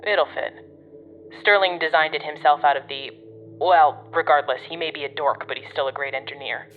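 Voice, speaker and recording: Casting: actress for exasperated female handler in an audio drama, low-pitched, commanding and wry, heard through a narrow radio band. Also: moderate pace, faint music behind. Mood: wry, instructing. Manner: bossy, sardonic, swears. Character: gruff, exasperated, protective woman guiding a colleague over radio.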